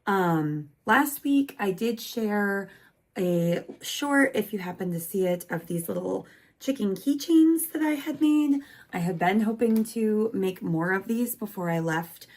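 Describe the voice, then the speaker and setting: A woman's voice: mid-range voice, lively intonation, takes it slowly. A woman chatting casually in a home craft vlog, talking while she crochets, her voice worn from losing it. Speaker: crochet maker and small business vlogger. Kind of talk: casual rambling vlog chat.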